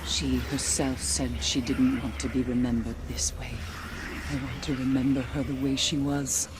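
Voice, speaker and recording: An actress playing a solemn, grieving survivor in a video game, haunted by lost friends, speaking deliberately and formally. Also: female voice, very deep voice, flat delivery, background noise. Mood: mournful, reflective. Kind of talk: video game cutscene acting.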